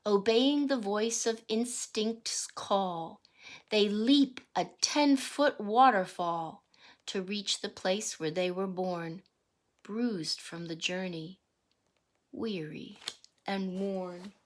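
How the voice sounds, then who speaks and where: Female teacher type, medium pitch, unhurried delivery, reading rhyming picture-book text and explaining it to kids. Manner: deliberate, explanatory, pausing to question young listeners and define words. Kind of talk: read-aloud of a rhyming picture book with teaching asides and questions.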